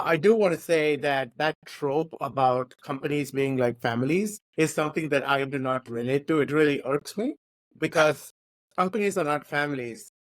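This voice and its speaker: A man in his forties speaking on a podcast in an earnest, articulate, measured way. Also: fairly high pitch, no echo. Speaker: podcast co-host, tech modernization expert. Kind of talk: conversational podcast discussion.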